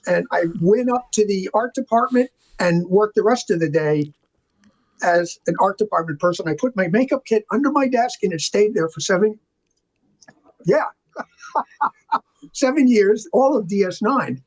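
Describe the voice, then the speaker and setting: A man's voice: high-pitched, lively intonation, measured pace, a little mellow, poor audio. An older man chatting casually as an interview guest, telling rambling, enthusiastic stories about his working life. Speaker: interview guest, film makeup artist and art department veteran.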